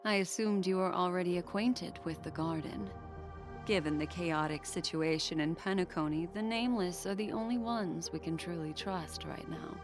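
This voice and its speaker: A woman's voice: on the low side, normal speed, lightly acted. A woman voicing an elegant, mysterious memory keeper in a video game, velvety, courteous and faintly teasing. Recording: game dialogue over music.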